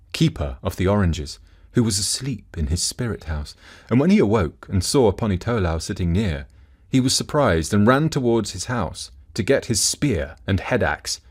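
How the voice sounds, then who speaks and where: Male storyteller, lowish voice with lively, wide intonation, reading a children's fairy tale. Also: husky.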